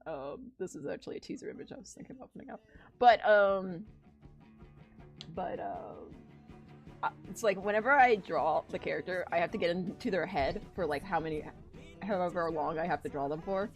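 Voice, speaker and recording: A woman streaming as she draws, chatting casually in an animated, gushing way and swearing freely. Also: lowish voice, moderate pace, faint music behind. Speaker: streamer and artist drawing live. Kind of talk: casual livestream chat.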